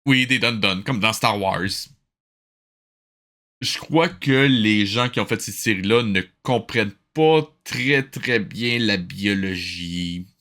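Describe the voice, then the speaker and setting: A man's voice: fairly low pitch, slow pace, a bit bright. A man hosting a livestream, giving casual reaction commentary in a sarcastic, exasperated way with some swearing. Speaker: livestream host.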